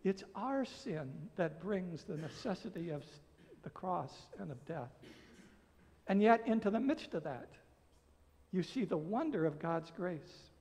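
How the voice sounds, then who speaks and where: An American man in his sixties preaching a sermon as a pastor, earnest and emphatic, phrasing deliberately with pauses. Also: high voice, animated, a little mellow. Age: 60s. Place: church sanctuary, at a pulpit. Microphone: gooseneck pulpit microphone. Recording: reverberant church hall.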